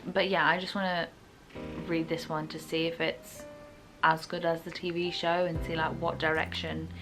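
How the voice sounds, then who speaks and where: A woman's voice: deep voice, little pitch movement, moderate pace, music in the background, poor audio. A young woman chatting informally to viewers about books, often qualifying what she says, in a casual, chatty way. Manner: chatty, informal, hedging her opinions. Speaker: book reviewer.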